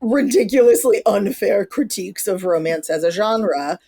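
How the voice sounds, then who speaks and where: A woman's voice: mid-range voice, animated, normal speed, dark tone, slightly rough. A woman in her thirties hosting a podcast, chatting casually, laughing often and swearing now and then. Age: 30s. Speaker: podcast host. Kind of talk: casual chat.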